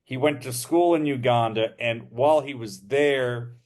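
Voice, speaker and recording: A man co-hosting a podcast, explaining history in a measured, casual way, thinking aloud with frequent ums and uhs. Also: medium pitch, a little mellow.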